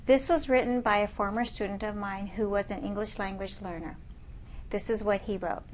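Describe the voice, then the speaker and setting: A woman professor giving a recorded lecture over slides, reading points aloud in a measured, explanatory way. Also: medium pitch, steady tone, slight background noise.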